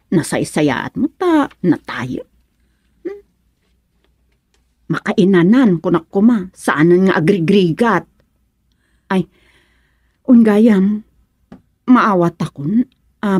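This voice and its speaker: A woman acts out an insistent village woman angling for a housemaid job in a radio serial. She is chatty and pleading. Her delivery is slow and drawn out, very animated, in a dry close recording. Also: medium pitch, husky, no room sound, full character voice. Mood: plaintive. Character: pleading village woman named Osang seeking housemaid work. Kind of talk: Ilocano radio comedy-drama acting.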